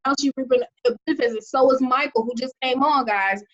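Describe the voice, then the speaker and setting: A woman's voice: sits high, fairly quick, lo-fi sound. A woman hosting a video call, presenting with upbeat energy and checking in with "okay?" again and again. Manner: upbeat, repeats "okay?" often. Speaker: host of an online money-making call.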